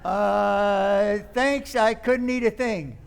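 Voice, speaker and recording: A man's voice: high voice, extremely slow, gravelly, smooth, exaggerated voice. A man playing a flustered, aghast young nephew in an old-time radio comedy, stammering and repeating himself in exasperation.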